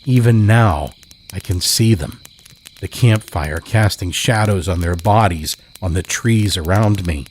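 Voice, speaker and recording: A man narrating a horror story in a measured, deliberate way as a podcast host. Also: low-pitched, a little hiss or hum.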